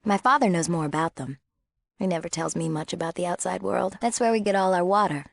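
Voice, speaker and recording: A woman's voice: fairly low pitch, brisk, vocal fry, dry recording, studio quality. A young woman with an American accent playing a bored, sassy small-town girl in a video game, casual and sardonic. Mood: matter-of-fact. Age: young adult. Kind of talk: video game character acting.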